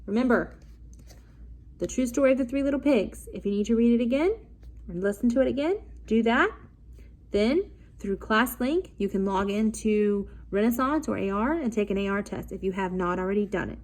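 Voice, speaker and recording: A woman teacher reading a story aloud, pausing to explain things simply for young listeners. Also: medium pitch, lively intonation, darkish tone. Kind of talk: read-aloud storytelling with asides to children.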